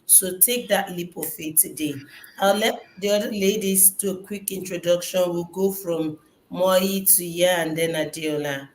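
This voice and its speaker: A woman's voice: lowish voice, fairly even intonation, moderate pace. A woman hosting a motivational livestream, speaking in an earnest, encouraging way over a video call. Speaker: host. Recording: echoey room, faint background noise.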